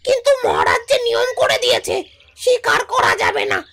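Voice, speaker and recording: Casting: shrill, bright, greedy sidekick creature, whiny and wheedling. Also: female voice, wildly swooping pitch, fairly quick, vocal fry, full character voice. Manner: whining, pleading, wheedling. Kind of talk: cartoon voice acting.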